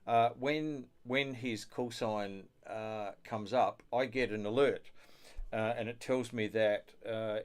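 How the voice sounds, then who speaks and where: A man hosting a casual studio chat, affirming and given to chuckling as he talks. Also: on the low side. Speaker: host of an amateur radio show. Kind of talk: casual chat about ham radio.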